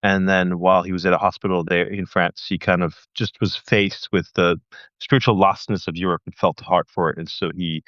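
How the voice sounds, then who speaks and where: A man in his thirties who grew up in Thailand, a podcast guest in casual interview chat, talking in a chatty, joking way with quick asides. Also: sits low, fairly even intonation, no room sound, highs cut off. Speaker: podcast guest, missionary leader.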